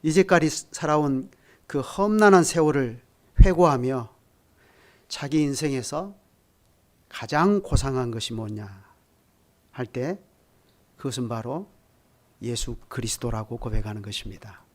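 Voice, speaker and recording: A man in his sixties preaching a sermon at a midweek prayer service, measured in delivery and building to emphatic peaks while speaking directly to the congregation. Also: medium pitch, darkish tone. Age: 60s. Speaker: pastor.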